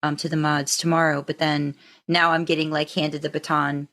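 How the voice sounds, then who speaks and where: A woman's voice: low voice, flat delivery, normal speed, fairly bright tone. A woman hosting a podcast in casual conversation, chatty and informal in the way she talks. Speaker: podcast host and podficcer.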